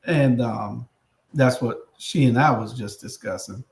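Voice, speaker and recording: A man in his sixties leads a loose live-stream chat, his voice at an ordinary middle pitch. He hesitates often, piling up fillers and restarts before each point. The room around him is echoey. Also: creaky, slight background noise. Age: 60s. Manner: halting, many fillers and restarts.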